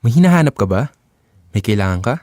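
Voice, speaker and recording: A man's voice: on the low side, very animated, fast, darkish tone, no echo, studio quality. A young man acting in an audio drama as a cocky, teasing ladies' man with boastful, slangy banter. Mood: smooth, helpful. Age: young adult.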